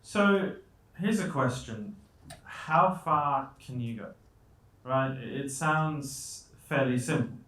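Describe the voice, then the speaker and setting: A man's voice: mid-range voice, takes it slowly, a bit bright. Guy from colombia doing a motivational talk on stage, chatty, jokes about himself, echoey room. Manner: self-deprecating humour, rhetorical questions. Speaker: guest speaker. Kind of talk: conversational motivational talk to an audience. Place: indoor event stage.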